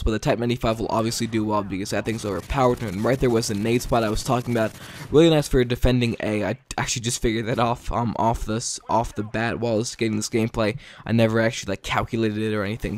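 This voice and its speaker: A tired man giving casual gameplay commentary late at night, stuttering often and filling pauses with ums and likes. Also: medium pitch. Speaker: gaming video commentator.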